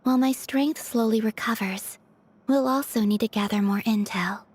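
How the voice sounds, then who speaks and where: An actress playing a gentle, earnest moon maiden in a game, with a soft, breathy voice. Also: female voice, a little high, moderate pace. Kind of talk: dramatic game voice acting.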